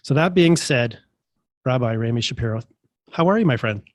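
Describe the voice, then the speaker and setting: A man's voice: medium pitch, lively intonation, normal speed, no room sound. Male podcast host, congested, upbeat and chatty, dry close recording. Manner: enthusiastic, chatty with many fillers. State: congested from allergies. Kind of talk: casual interview.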